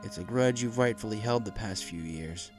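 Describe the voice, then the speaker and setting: A man voicing a gentle, regretful father pony in a story, speaking softly, earnestly and haltingly. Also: on the low side, lightly acted. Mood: remorseful. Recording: music playing behind the voice.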